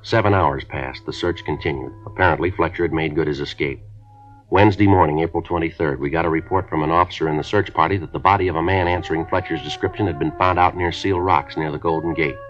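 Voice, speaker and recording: An actor playing a terse police detective who narrates the case in a flat, clipped, matter-of-fact way, on an old radio drama recording. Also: male voice, low-pitched, fast talker, faint music behind, close to an everyday voice. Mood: flat report. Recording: dull 1950s radio transcription.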